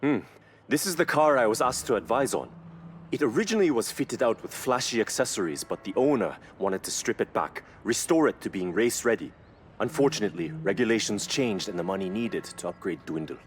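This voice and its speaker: A man playing a knowledgeable car expert in a video game, explaining in a measured, admiring way. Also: fairly low pitch, expressive, a little hiss or hum, natural voice. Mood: regretful. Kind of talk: scripted video game dialogue.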